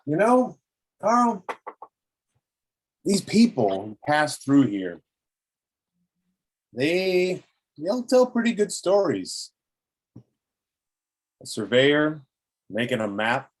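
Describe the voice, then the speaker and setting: A man playing a menacing, obsessive old explorer in a table read, theatrical and deliberate, with a husky voice. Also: on the high side, wildly swooping pitch, a little hiss or hum, exaggerated voice, rough recording. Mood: coldly menacing.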